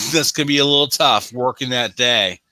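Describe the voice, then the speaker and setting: A man's voice: medium pitch, moderate pace, bright, forceful tone, dry recording. A man on a sports show panel chatting casually about football, often repeating himself for emphasis.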